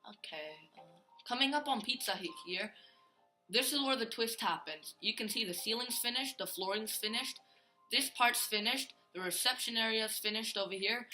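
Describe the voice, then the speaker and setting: A man's voice: high-pitched, moderate pace, sharp tone, soft background music, poor audio. A male gaming host giving a casual, rambling tour of a Minecraft build, full of fillers and restarts.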